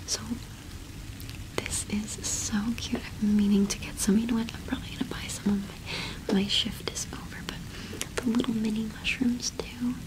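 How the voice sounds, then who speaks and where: A woman's voice: on the high side, flat delivery, lightly acted, rough recording. Woman playing a whispering young cottage witch shopkeeper. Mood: friendly, attentive. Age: young adult. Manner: unhurried, gently attentive, chatty. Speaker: ASMR roleplay performer. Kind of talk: whispered ASMR roleplay. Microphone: close microphone.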